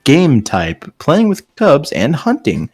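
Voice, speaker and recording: A man's voice: a little high, huge pitch swings, slow pace, darkish tone, slightly rough, dry recording, studio quality. A man reading aloud and riffing on it casually with friends, in a joking, sarcastic way. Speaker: host reading and mocking fan fiction. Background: faint background music.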